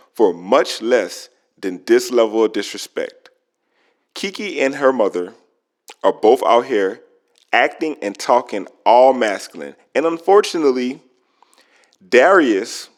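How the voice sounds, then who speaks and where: A man giving opinionated commentary in an emphatic way, asking rhetorical questions and drawing out words for effect. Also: medium pitch. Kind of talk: opinionated gossip commentary to camera.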